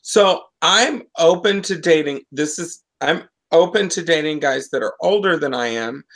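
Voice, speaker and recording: A woman's voice: deep voice, expressive, moderate pace. Woman, forties, chatty and self-interrupting, home webcam sound. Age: 40s. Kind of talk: casual chat. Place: living room at home. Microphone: video call webcam.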